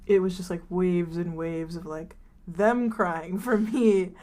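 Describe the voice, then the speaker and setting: Twenties girl cohosting a podcast, kinda low voice, lots of likes and ums, just chatting. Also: takes it slowly. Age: 20s. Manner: rambling, many likes and ums, animated retellings. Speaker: podcast co-host. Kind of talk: casual chat.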